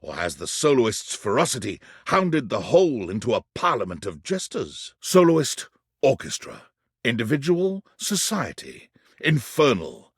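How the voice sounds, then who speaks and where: A man's voice: medium pitch, breathy edge, no echo, clean studio sound, heavily acted. A man playing an erudite, theatrical narrator in a whimsical game, delivering florid scripted lines with precise wording.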